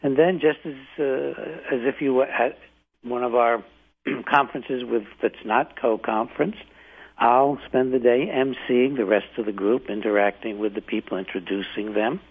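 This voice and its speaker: An older man hosting a radio show from home, hoarse from the flu, rambling with fillers and restarts. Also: medium pitch, fairly even intonation, normal speed. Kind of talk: casual talk radio monologue. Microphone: home setup, not his usual studio mic. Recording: tinny phone-like line.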